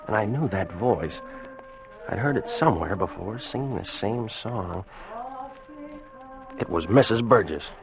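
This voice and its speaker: An old radio adventure, muffled, with music clearly behind the voice. a doomed American sailor with a low voice, telling his story in a grave, haunted, measured way. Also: lively intonation. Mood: haunted, tense. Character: weakened, fearful American sailor recording his doom. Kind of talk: first-person radio drama narration. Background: dramatic music.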